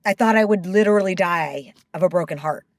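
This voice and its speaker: A woman's voice: on the low side, no echo, studio quality. A middle-aged woman, a company co-founder, speaking as an interview guest. She talks openly and with feeling, and she often stops and restarts her sentences. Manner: open and emotional, with halting restarts. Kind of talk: candid interview talk.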